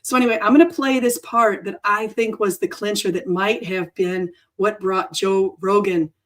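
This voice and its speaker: Woman host, froggy hoarse voice, rambling unscripted livestream chat. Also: mid-range voice, steady tone, moderate pace. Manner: rambling, self-correcting, chatty. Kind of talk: off-the-cuff livestream talk.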